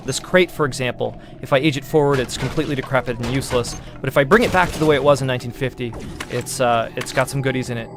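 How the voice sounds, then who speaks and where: Gravelly male game producer presenting a demo. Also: mid-range voice, fast talker, over music. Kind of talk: explanatory gameplay walkthrough commentary.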